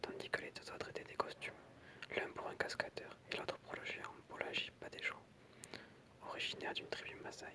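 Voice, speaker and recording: Whispering ASMR creator, sex unclear. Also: fairly quick. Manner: soft whispering, informal and hesitant in asides. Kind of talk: whispered ASMR reading of film trivia with casual asides.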